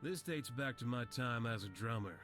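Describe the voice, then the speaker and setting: A man playing a frail, sickly old veteran in a video game, weary, resigned and gentle. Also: mid-range voice, expressive, normal speed, lightly acted. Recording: game music behind the voice.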